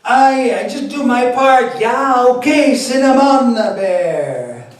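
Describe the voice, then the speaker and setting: A man voicing a loud, preachy middle-aged Rastafarian man with a Jamaican accent, who talks emphatically and ends sentences as questions. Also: extremely high pitch, expressive, takes it slowly, pitched above the performer's own voice, full character voice. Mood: indignant. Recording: echoey room, distant mic.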